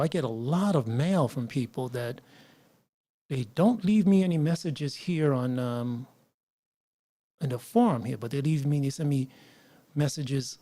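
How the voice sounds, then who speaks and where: A man's voice: fairly high pitch, dark tone. A man hosting a casual live stream, rambling with frequent restarts and asides to viewers. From United States. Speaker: livestream host. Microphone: mixer setup with line noise.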